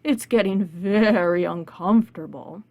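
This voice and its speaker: A woman's voice: lowish voice, unhurried, a little mellow, pitched below the performer's own voice. Woman as plaintive, bewildered storybook cows. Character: uncomfortable, bewildered cows waiting to be milked.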